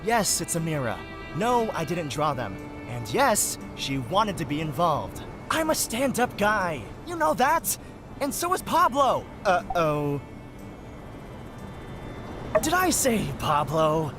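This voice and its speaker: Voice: medium pitch, very animated, slow, over music. An actor voicing an awkward, self-conscious young artist in a cartoon, stammering and wordy in a theatrical way. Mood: flustered, defensive.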